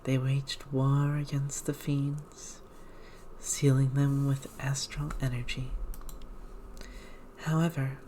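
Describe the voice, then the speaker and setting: Slow, hushed, low-voiced ASMR woman. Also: little pitch movement, a little hiss or hum, poor audio. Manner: hushed, slow, measured reading with whispered passages. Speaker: ASMR streamer reading a game aloud. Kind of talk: ASMR, whispered and soft-spoken game read-through.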